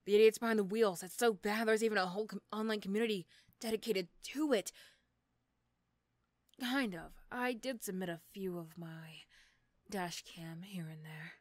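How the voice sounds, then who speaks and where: A woman playing an irritable, sarcastic female supervillain in a roleplay audio drama, snappy and condescending but gruffly caring. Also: medium pitch, steady tone, moderate pace, no room sound, pitched below the performer's own voice, close to an everyday voice. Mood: annoyed.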